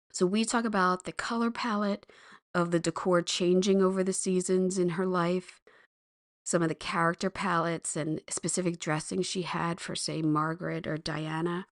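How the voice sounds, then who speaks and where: Slightly hoarse chatty woman host. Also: on the low side, no echo, clean studio sound. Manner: rambling, chatty, self-deprecating. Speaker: podcast host. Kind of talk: casual chat.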